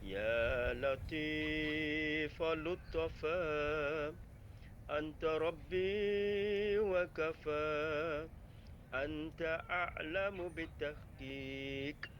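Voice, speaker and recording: A man's voice: on the high side, fairly even intonation, takes it slowly, fairly bright tone, highs cut off, slight background noise. A man chanting a devotional prayer, partly sung.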